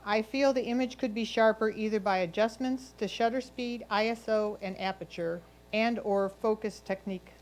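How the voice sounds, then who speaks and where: A woman reads prepared photo critiques aloud in the role of a competition reader. Her voice sits at a middle pitch for a woman. The delivery is that of someone voicing another person's written notes. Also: normal speed, poor audio. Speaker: reader of judges' comments.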